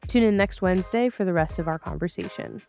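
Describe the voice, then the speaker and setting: A woman's voice: on the low side, fast, vocal fry, a little mellow, faint music behind. A woman hosting a podcast in a casual, chatty, informal way. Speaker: podcast host. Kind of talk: casual conversational podcast talk.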